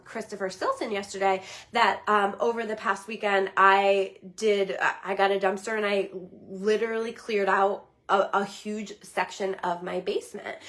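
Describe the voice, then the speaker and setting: Slightly hoarse woman chatting earnestly. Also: medium pitch, fairly bright tone. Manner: earnest, rambling, with many restarts and "right?". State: slightly hoarse, losing her voice. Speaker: psychic medium giving a weekly reading. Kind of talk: casual livestream talk.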